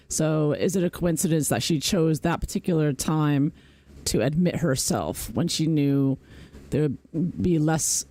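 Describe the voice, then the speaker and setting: A woman hosting casual, chatty true crime commentary on a trial, sardonic and quick to laugh at her own asides. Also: sits low, dark tone, dry recording.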